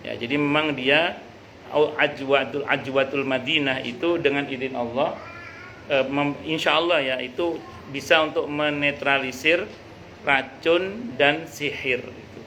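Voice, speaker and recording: A middle-aged man, an Islamic teacher giving an informal, conversational study talk with jokey anecdotes and some halting repetition. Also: mid-range voice, sharp tone. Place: a private home hosting a study gathering. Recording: noisy live recording.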